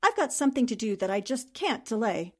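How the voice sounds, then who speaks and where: A woman playing a brisk, breezy female impostor posing as a newspaperwoman, in 1940s radio drama style. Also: fairly high pitch, very animated, slightly rough. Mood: hurried, evasive.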